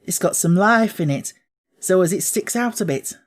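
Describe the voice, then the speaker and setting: Man voicing a blunt young Yorkshire housemaid, pitched up. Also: animated, moderate pace. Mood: frank, fond. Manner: blunt, homely dialect speech. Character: kind young Yorkshire housemaid, plain-spoken and warm.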